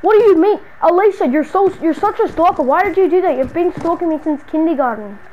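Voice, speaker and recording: A woman's voice: very high voice, animated, fast talker, highs cut off, a little hiss or hum. A woman playing an exasperated, slangy city dweller hounded by a stalker friend in an improvised video game roleplay. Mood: exasperated scolding. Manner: slangy, muttering to self, then scolding.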